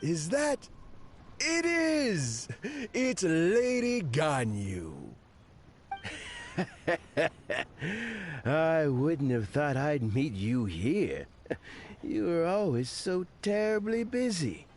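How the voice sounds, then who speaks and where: An actor playing a kindly elderly male official in a video game, speaking in a gentle, courteous and unhurried way. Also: fairly high pitch, sing-song, a little hiss or hum, exaggerated voice. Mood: pleasantly surprised. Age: older.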